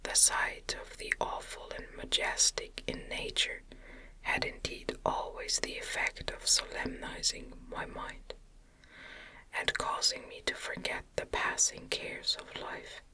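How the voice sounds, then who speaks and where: Slow, breathy whispering woman narrator. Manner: gentle, unhurried, deliberate phrasing. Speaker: audiobook narrator. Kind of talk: whispered ASMR novel reading. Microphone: close microphone.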